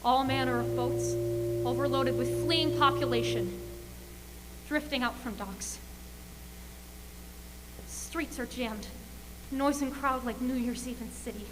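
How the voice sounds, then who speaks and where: A woman playing a frightened radio reporter describing an alien invasion in a radio play, halting and repeating words. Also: sits high, steady tone, over music, low-quality recording. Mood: grim dread. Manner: breathless, halting, repeats words under rising panic. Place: school auxiliary gym stage.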